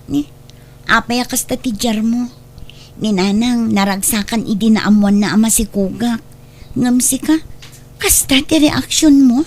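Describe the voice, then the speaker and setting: A woman acts out a young wife and younger sister in a family audio drama. Her voice sits fairly high, with an Ilocano Filipino accent, hesitant but plain-spoken. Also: unhurried, long pauses, a little mellow. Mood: hurt, reproachful. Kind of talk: heartfelt audio drama acting.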